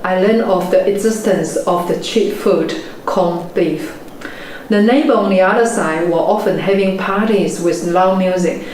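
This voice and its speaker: A woman in her forties, a native Chinese speaker with a Chinese accent, giving a measured, earnest motivational talk as a property investment mentor. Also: medium pitch, a little mellow. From China. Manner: measured, earnest storytelling delivery. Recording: very echoey room, faint background noise.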